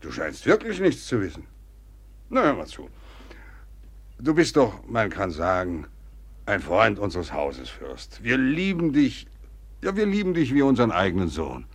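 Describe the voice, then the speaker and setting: A man's voice: lowish voice, huge pitch swings, measured pace, pauses often, breathy edge, full character voice. An actor playing a fatherly older general in a 1950s radio play, talking in a confiding, rambling way. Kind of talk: 1950s radio drama acting.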